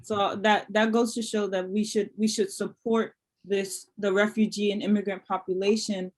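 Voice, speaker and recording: Encouraging interviewer with mid-pitched voice. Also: little pitch movement, moderate pace. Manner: encouraging, frequent short affirmations.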